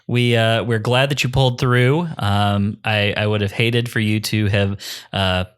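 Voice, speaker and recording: Low-voiced man hosting a casual podcast. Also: steady tone, a little slow, clean tone. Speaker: podcast host. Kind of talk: casual bantering podcast chat.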